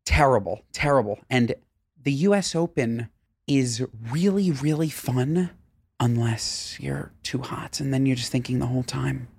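A man co-hosting a podcast in casual chat, playfully dramatic and animated. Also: mid-range voice, unhurried, gravelly, husky, no echo. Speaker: podcast co-host.

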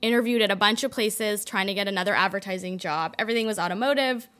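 A young woman with a Canadian accent chats as a podcast guest, her voice sitting at an everyday middle pitch. She runs through her story quickly and casually, with plenty of likes and ums along the way. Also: a bit bright. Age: young adult. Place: indoor studio in a building.

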